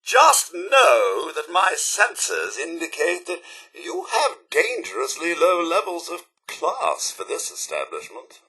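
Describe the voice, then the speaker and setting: A man's voice: a little high, huge pitch swings, slow, bright, forceful tone, slightly rough, exaggerated voice. A man with a British accent voicing a prim, fussy, loyal robot butler in a video game, theatrical and formally polite. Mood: haughty, sardonic. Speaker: voice actor. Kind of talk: video game companion voice lines.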